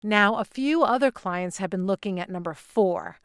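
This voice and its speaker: A woman's voice: medium pitch, moderate pace, clear, resonant tone, dry recording, clean studio sound, lightly acted. A woman playing a pushy, evasive real estate agent in an audio drama, with a salesy, reassuring manner. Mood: businesslike.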